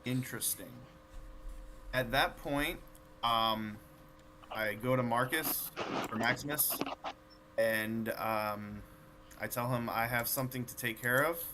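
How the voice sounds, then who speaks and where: A man's voice: medium pitch, takes it slowly, long pauses, pushed and bright, slight background noise, rough recording. A man playing a tabletop game, casually describing what his character does and says.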